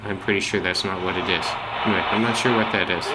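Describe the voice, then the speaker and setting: A man's voice: low-pitched, steady tone, quick pace. A man giving casual, unscripted commentary as a radio hobbyist, thinking aloud with some hesitation. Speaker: shortwave radio hobbyist narrating a band scan. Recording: heavy shortwave radio static and noise. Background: radio static and broadcast chatter.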